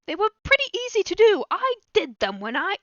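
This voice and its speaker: A woman hosting a casual watch-along who is getting over a cold, an eager fan who laughs often and makes quick asides. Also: very high voice, huge pitch swings, dry recording, lo-fi sound. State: recovering from a cold. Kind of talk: casual chat.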